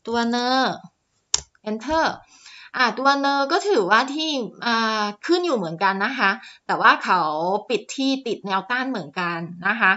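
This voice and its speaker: Bright-voiced woman explaining stock charts. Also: fairly high pitch, animated, unhurried, highs cut off. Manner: chatty, filler-heavy, polite particles. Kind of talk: screen-share chart walkthrough. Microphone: voice-over on screen recording.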